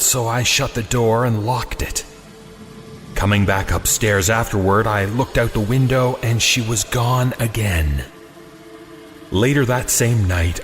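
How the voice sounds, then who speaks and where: A man reading a scripted first-person horror story in a suspenseful, dramatic way with deliberate pauses. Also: medium pitch. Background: music.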